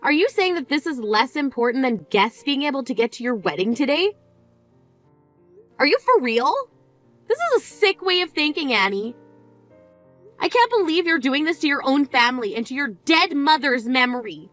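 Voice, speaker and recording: A woman's voice: high voice, lively intonation, brisk, hardly any pauses, faint music behind. A woman playing a blunt, grieving older sister who scolds and questions in disbelief, in a scripted drama. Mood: incredulous. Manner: scolding, incredulous, cutting. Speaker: sister at a funeral.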